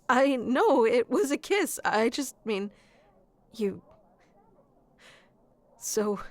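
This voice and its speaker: A woman's voice: high-pitched, no room sound, heavily acted. A woman playing a shy, sweet, lovestruck florist in a romantic ASMR roleplay, speaking hesitantly with nervous stammers. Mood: flustered, pleading.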